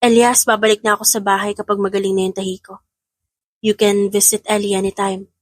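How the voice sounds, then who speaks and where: A woman's voice: mid-range voice, level tone, fairly quick, no room sound, lightly acted. A woman playing a defiant, protective new mother in an audio drama, speaking firmly and with emotion. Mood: firm, resolute. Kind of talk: Tagalog audio drama acting.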